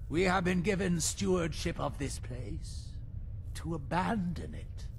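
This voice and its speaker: An actor playing a solemn, imperious male cult leader in a video game, with grandiose, deliberate, preacher-like delivery. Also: a little high, expressive, gravelly, slight background noise. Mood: reverent, brooding. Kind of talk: video game dramatic dialogue.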